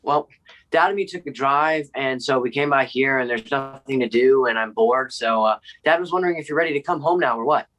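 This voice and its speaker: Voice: medium pitch, fairly quick, a bit bright, natural voice, rough recording. An actor playing an eager, bored school-age child in a stage play, casual and pleading. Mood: bored, curious.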